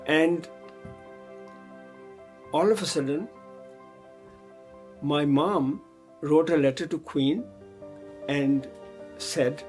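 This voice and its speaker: A man's voice: fairly high pitch, a little mellow, faint music behind. A man, telling his life story in an oral history interview in a reflective, hesitant way. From Pakistan. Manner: reflective, unhurried, with frequent hesitations.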